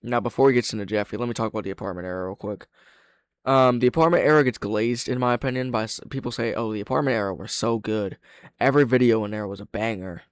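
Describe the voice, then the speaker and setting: A man's voice: on the low side, fast, no room sound. Man giving dry, close-miked reaction commentary. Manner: opinionated, swearing. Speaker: reaction video host.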